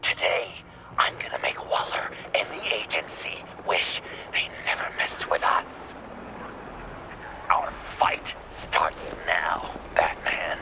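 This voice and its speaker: A man voicing a manic, gleeful clownish vigilante villain in a video game, lurching theatrically between whispery menace and shrill glee. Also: very animated, slow, exaggerated voice, poor audio. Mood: scheming, menacing.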